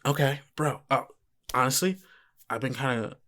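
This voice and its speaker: A man's voice: mid-range voice, fairly even intonation, normal speed, sharp tone, lightly acted. Man playing slangy laid-back teenage school buddy. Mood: scheming, chummy. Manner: slangy, hesitant, hyped-up bro talk. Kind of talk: improvised tabletop roleplay.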